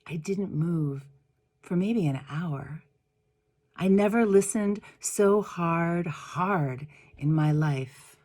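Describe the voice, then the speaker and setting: A woman reading a first-person story aloud with deliberate, expressive phrasing and pauses. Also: low voice.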